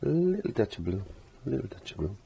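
A middle-aged man with a croaky voice giving a casual step-by-step painting lesson, rambling as if to himself and repeating his phrases. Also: mid-range voice, sing-song, fast talker, warm tone. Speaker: painting instructor. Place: indoor home art studio.

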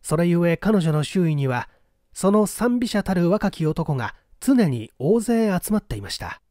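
Guy narrating a mystery audiobook, polite n measured, really varied intonation, very dry close sound. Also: sits high, very animated, darkish tone, dry recording. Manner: measured, polite storytelling delivery. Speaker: audiobook reader. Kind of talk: dramatic literary narration of a mystery story.

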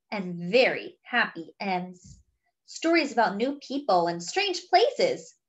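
Very lively, high-voiced woman reading to children. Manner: expressive, wondering asides to young listeners. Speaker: children's story reader. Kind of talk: picture book read-aloud with chatty asides.

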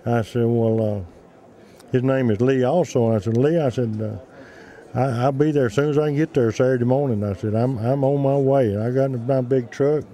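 An older man with a mellow, mid-pitched voice tells a story at an unhurried pace. His manner is folksy, as in an informal interview. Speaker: museum representative interviewed. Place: large indoor trade show hall. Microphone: handheld interview microphone.

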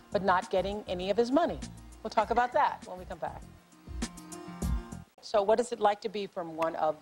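A woman's voice: fairly low pitch, a little mellow. A woman hosting a television talk show, chatty and affirming, often backing up her guest. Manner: chatty, affirming, many mm-hmms.

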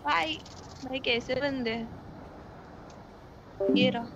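A woman's voice: sits high, slow, highs cut off, a crowd in the background. An Indian woman giving casual callouts to teammates during a game.

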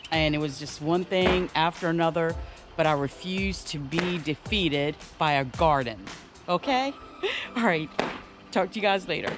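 An older woman with an American accent chatting casually to camera, playful and self-deprecating. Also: deep voice. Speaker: vlogger showing her home garden. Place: outdoors in a fenced backyard. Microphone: handheld camera at arm's length. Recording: clearly noisy outdoor ambience.

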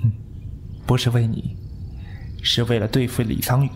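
A man playing a composed, courteous martial-arts lord in an audio drama, measured and scheming. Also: medium pitch, little pitch movement, a little hiss or hum, close to an everyday voice. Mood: calm, calculating. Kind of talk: Mandarin audio drama acting. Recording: background music under voice.

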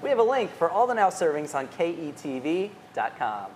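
A man's voice: on the high side, sing-song, a little fast. Young adult male, American, upbeat TV host, light cafe noise behind. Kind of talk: upbeat broadcast interview. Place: busy small cafe, indoors. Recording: faint cafe background noise.

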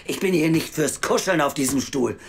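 An actress playing a middle-aged female youth welfare official in an audio drama, weary, scornful and blunt, with a deep voice and a lecturing, sardonic manner. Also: normal speed, creaky, slight background noise. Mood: harsh, impatient. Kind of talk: naturalistic audio drama acting.

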